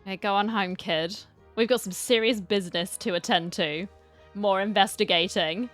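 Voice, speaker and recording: A woman's voice: medium pitch, moderate pace, a bit bright, soft background music. A woman streamer giving casual playthrough commentary, with playful, wry asides. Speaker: game streamer.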